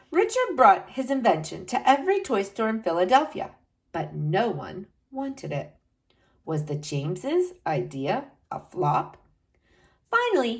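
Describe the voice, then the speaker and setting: A woman reads a children's picture book aloud with a fairly high voice. Her pitch rises and falls widely, and she stretches key phrases out for drama. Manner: expressive, slow dramatic emphasis with wide pitch swings. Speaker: storyteller reading to children.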